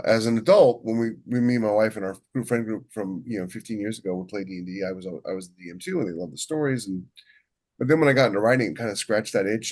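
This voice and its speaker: A man with a fairly deep voice talks casually as a podcast co-host. He rambles as he explains his writing work, often repeating the first words of a phrase before carrying on. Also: darkish tone. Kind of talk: casual shop talk about writing.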